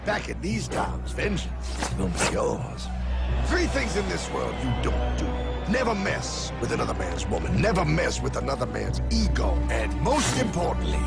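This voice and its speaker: A man's voice: mid-range voice, creaky, heavily acted. A man with an American accent voicing a brash, theatrical, streetwise cartoon mentor who preaches and talks cockily. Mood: brash, vengeful. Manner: dramatic, cocky, preachy, calls listener pal. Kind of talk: animated explainer cartoon acting. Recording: music bed behind the voice.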